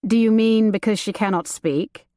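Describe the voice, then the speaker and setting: A reserved young gentleman who answers in a curt, dry way, as a woman reader puts him on. He stays guarded and plain. The sound is close and dry. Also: medium pitch, steady tone, moderate pace, no echo, close to an everyday voice.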